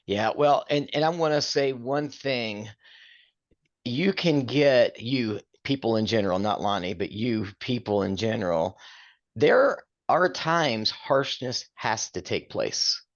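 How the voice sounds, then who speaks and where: A man's voice: medium pitch, lively intonation, takes it slowly. Older male co-host, big carrying voice, emphatic delivery, slightly dull lo-fi sound. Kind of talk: casual chat.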